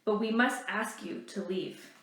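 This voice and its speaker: A woman's voice: mid-range voice, unhurried, fairly bright tone, very echoey, lightly acted, low-quality recording. A woman voicing a firm, courteous male resistance leader in a read-aloud story, with a formal, polite manner. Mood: calm, resolute.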